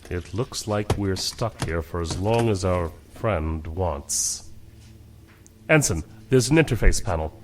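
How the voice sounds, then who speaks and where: An actor playing a calm, commanding starship captain in an audio drama, firm and measured in his delivery. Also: sits low, a little hiss or hum. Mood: grave, controlled.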